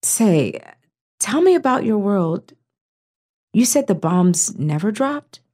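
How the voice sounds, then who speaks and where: A woman playing a world-weary, blunt young female survivor in an amateur audio drama, with a husky voice and wry manner. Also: medium pitch, sing-song, takes it slowly, warm tone. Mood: wry, resigned.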